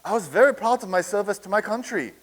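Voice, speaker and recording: Brief: man reading as a nervous, stammering soldier in a comedy reading, voice pitched a little high. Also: expressive, fast talker. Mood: flustered. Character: flustered male soldier from a past war.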